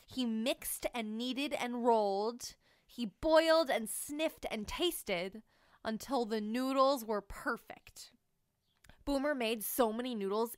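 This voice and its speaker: A woman reading a children's picture book aloud in an expressive, animated storytelling manner. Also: on the high side, clean tone, no room sound, clean studio sound. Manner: animated storytelling, sing-song. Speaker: read-aloud narrator.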